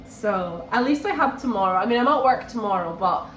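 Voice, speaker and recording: Echoey room, faint noise, young woman, highish voice, chatty reading vlog, slightly under the weather with a cold. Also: expressive, fairly quick. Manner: chatty, repeats phrases for emphasis. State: run down with a cold. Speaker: book vlogger. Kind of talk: casual chat to camera, reading vlog.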